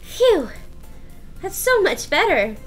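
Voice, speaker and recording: A woman's voice: very high-pitched, sing-song, takes it slowly, faint music behind, heavily acted, rough recording. A woman voicing a sweet, playful, childish teenage schoolgirl with a cutesy, teasing manner in a visual novel. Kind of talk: visual novel read-aloud.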